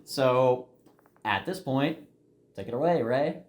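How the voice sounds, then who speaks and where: A man co-hosting a casual podcast, speaking in a laid-back way with many fillers and quick questions. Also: medium pitch, expressive, echoey room. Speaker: podcast co-host. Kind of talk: casual chat.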